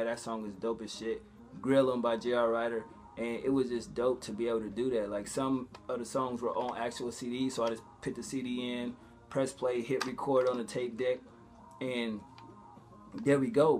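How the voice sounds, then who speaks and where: A man with a mid-pitched voice talks casually to his vlog audience. His delivery is conversational and loose, peppered with slang and profanity. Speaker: vlogger. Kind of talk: casual vlog talk to viewers.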